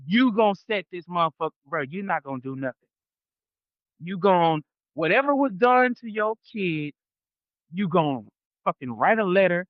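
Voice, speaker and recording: A man in his late thirties with a high voice rants bluntly on a casual podcast. He speaks emphatically and swears freely. The sound is dry and a little lo-fi. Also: no room sound. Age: 30s. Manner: blunt, emphatic, profane ranting. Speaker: podcast co-host.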